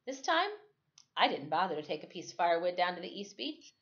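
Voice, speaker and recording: A woman reads a children's novel aloud as a storyteller, with expressive, dramatic and deliberate phrasing. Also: fairly low pitch. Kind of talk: expressive first-person story read-aloud. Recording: dull lo-fi recording.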